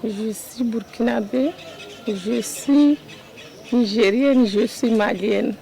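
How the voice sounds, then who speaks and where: Female interviewee, unhurried, gravelly mellow voice. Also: a little high.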